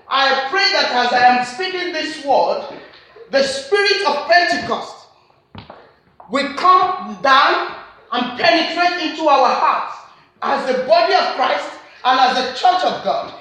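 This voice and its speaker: A woman with a Nigerian accent delivers a sermon, her voice bright and forceful. She presses her points through earnest repetition, and the church hall around her rings with heavy echo. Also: high voice. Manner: earnest, repetitive emphatic phrasing. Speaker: preacher.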